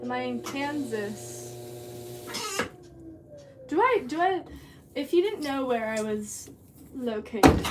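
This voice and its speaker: A young woman from Southern California chatting casually and giggling as she reads viewer comments while she works clay. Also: fairly high pitch. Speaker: livestreamer. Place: home pottery studio. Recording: distant mic with music playing behind.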